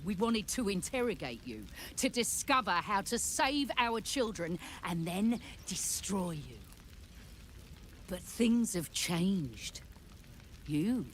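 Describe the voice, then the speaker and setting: A low, grave monster-hunter leader. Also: female voice, a bit bright, slight background noise, heavily acted. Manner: formal, grave, deliberate delivery. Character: stern grieving monster-hunter leader, a woman, solemn and commanding. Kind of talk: video game dramatic acting.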